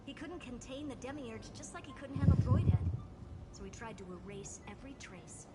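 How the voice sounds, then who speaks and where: A woman playing a sharp, confident young female analyst in scripted game dialogue, reasoning in an assertive, deliberate way. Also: high-pitched, expressive. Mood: confident, probing. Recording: loud background music. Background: game music.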